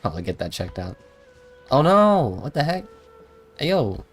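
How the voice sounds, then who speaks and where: A man's voice: mid-range voice, very animated, normal speed, slightly rough. A young man doing casual, slangy live game commentary, rambling and muttering to himself. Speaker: game streamer. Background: video game music.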